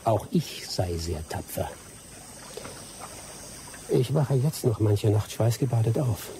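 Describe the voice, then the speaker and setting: A man's voice: fairly low pitch, lively intonation, takes it slowly, warm tone, slight background noise. An actor playing a seasoned, teasing male jungle adventurer with dry humour in a German radio drama. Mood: haunted.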